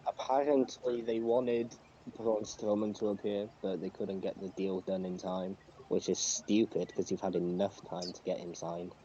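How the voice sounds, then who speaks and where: A man's voice: low voice, little pitch movement, moderate pace, mellow. A man co-hosting a wrestling podcast in casual talk over a muffled, noisy voice call. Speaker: podcast co-host.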